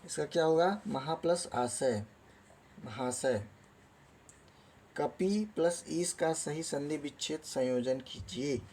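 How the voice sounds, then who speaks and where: A man's voice: on the high side, slow, a little mellow, slight background noise. A man teaching a lesson, reading out quiz questions and options in a didactic way and then giving the answers. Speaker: Hindi grammar teacher.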